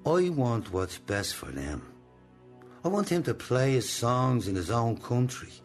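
An older Irish actor in a radio play, playing a controlling old boss with a deliberate, coaxing manner and benign menace. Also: male voice, medium pitch, very animated, soft background music. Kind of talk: radio drama acting.

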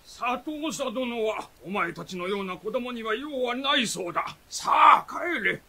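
Rough, shrill, domineering gatekeeper. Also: male voice, expressive, a little slow, pushed and bright, exaggerated voice. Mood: domineering, threatening. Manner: archaic, domineering speech. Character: stern, threatening manor servant guarding the gate.

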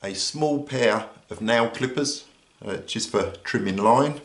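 An older British man with an easygoing manner, explaining a survival kit item by item while pausing now and then. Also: mid-range voice, reverberant, a little hiss or hum. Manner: relaxed, explanatory, with frequent "uh" pauses. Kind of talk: casual show-and-tell demonstration. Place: indoors at a table. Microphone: overhead camera on hands, speaker off frame.

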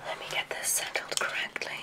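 A woman whispering softly in an ASMR roleplay as a kindly witch examiner at a magic school, speaking in a soothing, deliberate, formal way. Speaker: ASMR performer.

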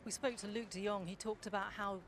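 A woman interviewer asking direct, brisk questions after a football match. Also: medium pitch, steady tone, a little hiss or hum.

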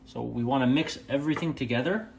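A young man explains a recipe as he cooks, in a casual, unhurried voice of ordinary pitch. He corrects himself now and then and fills pauses with small "uh"s. Faint kitchen noise and frying hum sit behind him. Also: vocal fry. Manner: relaxed and plain-spoken, with frequent "uh" and "um" fillers and small self-corrections. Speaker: home cooking channel host. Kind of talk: step-by-step cooking instruction. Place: home kitchen at the stove.

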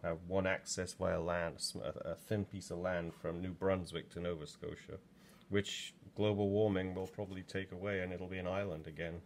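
A man's voice: deep voice, level tone, moderate pace, hardly any pauses, darkish tone, slight background noise. A man in his sixties from England, chatting in a rambling way with plenty of ums while working in a pottery studio, recorded from a camera just over his shoulder. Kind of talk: casual demo talk while working.

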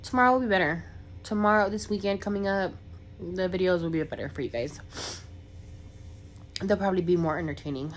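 A woman's voice: fairly low pitch, fairly quick, pauses often, slight background noise. A young woman, congested from a cold, rambling casually in a vlog with lots of fillers. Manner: rambling, filler-heavy, chatty. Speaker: vlogger.

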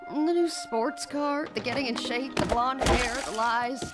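Woman as high-voiced determined superhero mom. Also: a little slow, loud music behind the voice, lightly acted. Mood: wary, tense. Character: determined, sharp superhero mother, adult woman. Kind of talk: animated film-style game dialogue.

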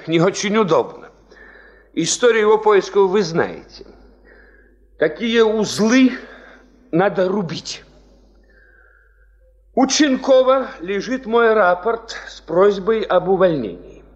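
An actor playing a principled, skeptical mining engineer in a 1970s Soviet radio play, speaking in a measured, formal, resolute way. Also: male voice, high-pitched, expressive. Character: principled, skeptical male veteran mining engineer and party leader. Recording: dull, band-limited 1970s radio recording.

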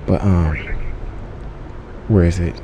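A man's voice: deep voice, fairly even intonation, slow pace, dull audio. A man giving a casual, unscripted app review in a slangy, joking, offhand way. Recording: clearly noisy background.

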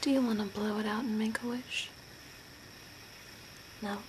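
A young woman playing an eerie, courteous zombie in a slow, deliberate, breathy ASMR whisper. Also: medium pitch, fairly even intonation, natural voice. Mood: softly intrigued. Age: young adult. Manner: slow, deliberate, softly spooky. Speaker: ASMR role-play performer. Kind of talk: whispered ASMR role play. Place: small room indoors by a curtained window. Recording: clear background noise, ambient hiss.

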